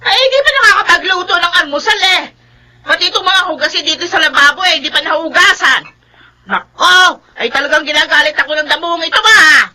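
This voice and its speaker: A woman's voice: extremely high pitch, brisk, sharp tone, heavily acted. A woman playing a heartless, nagging Filipino aunt in a melodramatic radio drama, full of scolding exclamations. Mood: exasperated.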